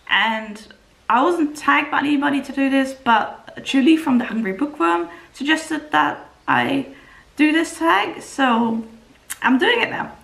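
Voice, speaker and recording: A woman's voice: on the high side, creaky, a bit bright, echoey room. A young woman from the Netherlands with a Dutch accent, a native Dutch speaker, chatting casually as a booktuber with many fillers and restarts. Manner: conversational, hesitant with fillers and restarts. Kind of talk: casual vlog talk.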